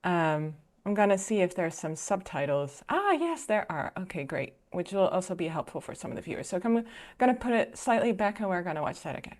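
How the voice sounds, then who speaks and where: A woman with a slightly low voice talks through a video as she watches it. She is chatty and thoughtful, pausing on fillers and restarting her sentences. It sounds like a casual reaction commentary. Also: very animated, darkish tone. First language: Dutch. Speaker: filmmaker and reaction video host.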